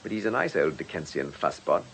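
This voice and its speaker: A man playing a gentleman police inspector in a radio drama, polite and measured in his questioning, with a dry wit. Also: very deep voice, big pitch swings, gravelly, slight background noise, heavily acted, rough recording. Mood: wry, brisk. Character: courteous, probing, wry gentleman police inspector.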